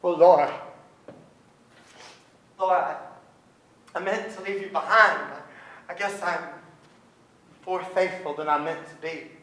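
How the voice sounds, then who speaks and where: Wistful narrator in echoey theater. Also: male voice, fairly high pitch, steady tone, a bit bright. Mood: sorrowful. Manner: reflective, halting. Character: wistful, restless son narrating his memories. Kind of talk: dramatic first-person stage narration. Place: stage of a large theater. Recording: echoey theater with faint noise.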